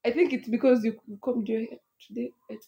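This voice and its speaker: A woman's voice: on the high side, fairly even intonation, a little fast, vocal fry, lo-fi sound, a crowd in the background. A young woman with a Kenyan accent co-hosting a podcast, teasing her co-host and laughing often as she switches between English and Swahili. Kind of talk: casual banter.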